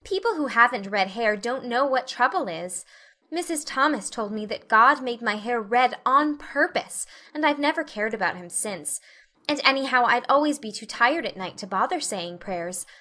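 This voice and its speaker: An eager, talkative little girl, read by a woman, rambling on about whatever catches her imagination in a bright, clear voice a little above middle pitch. she sounds earnest and wide-eyed, the way a storybook child talks. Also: lively intonation, moderate pace. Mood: reproachful. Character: chatty, dreamy, imaginative young girl. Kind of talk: audiobook character reading.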